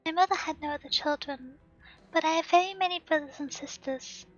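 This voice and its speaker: A hoarse woman reading the lines of an earnest, gentle young village girl from a game. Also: sits high, fairly quick, natural voice. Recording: slightly dull stream audio, faint game music.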